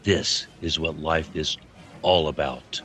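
An actor playing a wise, fatherly man of mystery who gently reassures souls in a fantasy audio drama. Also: a bass voice, steady tone, slow pace, exaggerated voice. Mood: earnest, reassuring.

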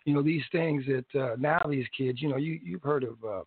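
A man's voice: medium pitch, brisk, breathy edge, no room sound. A man chatting casually as a guest about hemp, rambling with lots of restarts and small laughs. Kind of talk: casual interview chat. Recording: dry tinny phone-like sound.

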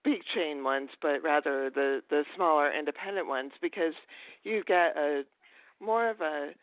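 Higher-voiced host, hesitant, on the phone. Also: male voice, unhurried, no echo. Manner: hesitant, rambling. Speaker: podcast host, interviewer. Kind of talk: casual chat. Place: phone call. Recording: tinny narrow phone line.

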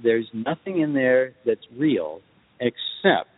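Deliberate man on a muffled, reverberant line. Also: medium pitch, very animated, husky. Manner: measured, deliberate, short halting phrases. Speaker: interview guest, composer. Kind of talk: thoughtful interview answers.

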